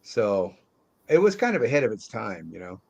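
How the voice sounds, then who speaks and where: A man's voice: on the low side, very animated, moderate pace, vocal fry. Middle-aged man hosting casual film chat. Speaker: host of a movie review show. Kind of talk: casual movie discussion.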